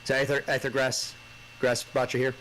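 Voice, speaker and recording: A man in his forties hosting a casual chat, answering with quick, easygoing affirmations. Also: medium pitch, completely flat delivery, a bit bright, a little hiss or hum. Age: 40s. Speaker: host.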